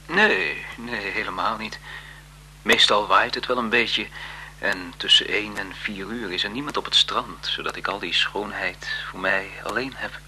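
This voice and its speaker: An actor playing a shy, deferential seaside photographer in a 1970s Dutch radio play, halting and courteous. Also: male voice, on the low side. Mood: polite, eager. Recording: 1970s radio drama with loud background noise.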